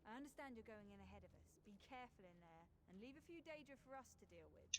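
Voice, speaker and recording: An actress playing a friendly, wry fantasy game ally in a conversational way. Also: female voice, mid-range voice, animated, fast talker, close to an everyday voice. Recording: faint ambient game noise behind.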